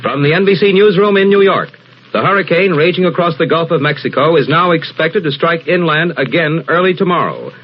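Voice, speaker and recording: A man reading a formal, crisp news bulletin in 1940s radio style. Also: on the high side. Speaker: news announcer. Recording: muffled old radio recording.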